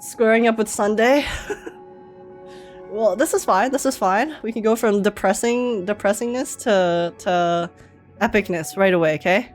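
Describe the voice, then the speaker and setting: A woman streamer giving casual live game reactions in an excitable, giggly, emotional manner. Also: medium pitch, a little slow, soft background music.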